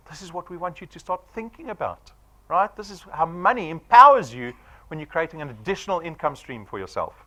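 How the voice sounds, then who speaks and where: A man's voice: on the high side, very animated, fairly quick, sharp tone. Man, South African accent, forties, punchy presenter, rhetorical questions, swooping voice. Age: 40s. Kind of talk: sales presentation to an audience.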